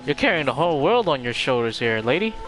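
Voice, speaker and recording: A man's voice: medium pitch, sing-song, fast talker, rough recording. A man streaming a game, chatting casually and reacting aloud to the characters. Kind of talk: casual gameplay commentary. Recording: faint game music behind.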